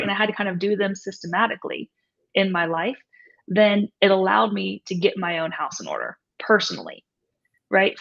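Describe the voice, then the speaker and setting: A woman's voice: medium pitch, creaky, a bit bright. Woman author-coach guest, reflective conversational delivery, muffled lo-fi audio. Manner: reflective, frequent "right?" tags. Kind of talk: conversational interview.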